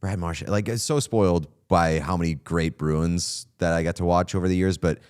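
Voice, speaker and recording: A man's voice: low-pitched, normal speed, smooth. A man co-hosting a hockey podcast, talking in an opinionated, casual way with lots of fillers. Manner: animated, opinionated, frequent "like" fillers. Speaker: podcast co-host. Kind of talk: casual sports chat.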